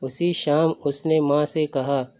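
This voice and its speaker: Slow, flat-toned male storyteller, slightly high voice. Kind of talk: scripted story narration. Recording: dull lo-fi recording.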